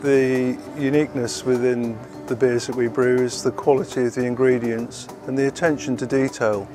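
Flat-toned man describing beers. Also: mid-range voice, music in the background. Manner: measured, hesitant with fillers. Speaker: brewery staff. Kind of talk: interview.

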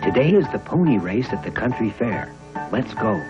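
Man voicing a kindly guardian, lively intonation. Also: medium pitch, moderate pace, dull audio, music in the background, lightly acted. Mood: friendly. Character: kindly male guardian of a mischievous monkey.

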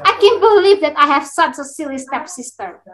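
A woman's voice: high-pitched, lively intonation, fairly quick, fairly bright tone. A woman playing a spoiled, haughty and demanding young stepsister in a readers theater. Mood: spiteful. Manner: whiny and demanding. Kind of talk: readers theater acting on video call. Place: indoors on a video call.

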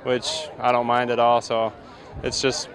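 Easygoing young player, faint crowd. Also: male voice, medium pitch, monotone, unhurried, fairly bright tone. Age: young adult. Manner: easygoing, short answers ending in so. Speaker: college football player. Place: outdoors on a football field.

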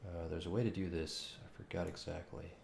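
A man's voice: low voice, flat delivery, a little fast, vocal fry, slight background noise. A man in his thirties on a casual live-coding stream, muttering to himself in fragments as he thinks aloud. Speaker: game developer live streamer. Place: small home room, indoors. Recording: echoey room with loud keyboard clicking. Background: typing and mouse clicks.

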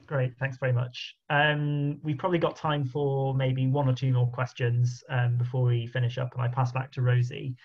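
A man's voice: mid-range voice, level tone, normal speed, hardly any pauses, a little mellow. A man moderates a video call and reads out audience questions. He speaks hesitantly, with many "um"s and repeated words, in a level voice with little rise or fall. The call audio comes through dull and lo-fi.

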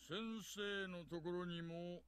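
An actor playing a burly middle-aged detective in a visual novel, falsely polite, oily and mocking. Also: male voice, high-pitched, flat delivery, moderate pace, a bit bright, clean tone. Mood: smug. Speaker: police detective. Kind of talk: Japanese visual novel voice acting.

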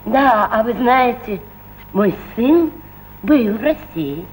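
An older actress playing a pilot's mother, an aging painter who speaks in a dreamy, theatrical way and drops into hushed murmurs. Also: fairly high pitch, expressive, takes it slowly, slight background noise, rough recording. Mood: wistful. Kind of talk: stage play acting.

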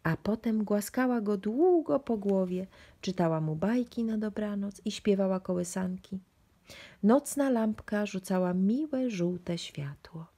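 A woman storyteller reading a children's bedtime book. Her delivery is expressive and swoops in pitch, in a breathy, husky voice with some creak at the edges. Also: unhurried. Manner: expressive, addressed to young children.

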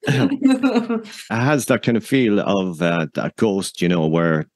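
Male podcast interviewer, deep voice, casual conversational delivery, very dry recording. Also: animated, takes it slowly, no room sound.

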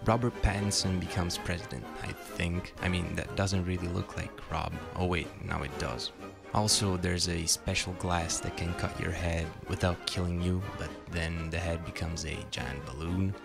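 A man's voice: sits low, steady tone, moderate pace, vocal fry. A man narrating a scripted video essay with dry, wry asides. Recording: music behind the voice.

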